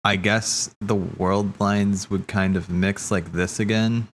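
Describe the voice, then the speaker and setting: A man streaming casual, rambling theory commentary, thinking aloud haltingly, correcting himself and swearing casually. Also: on the low side, steady tone.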